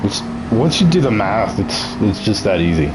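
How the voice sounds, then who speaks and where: A man's voice: lowish voice, very animated, moderate pace, noisy background. A young man chatting casually as a game streamer, nasal and ill, joking, laughing often and tripping over his words.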